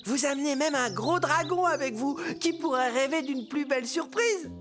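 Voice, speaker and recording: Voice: high-pitched, expressive, moderate pace, music in the background. An actor voicing a simple, scatterbrained male dwarf in a children's cartoon fairy tale, naive and earnest. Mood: cheerful, naive.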